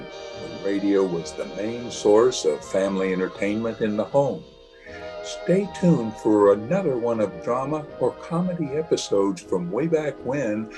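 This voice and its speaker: A man giving a showy old-time radio intro as the program's announcer. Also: on the low side. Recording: announcer over clear music.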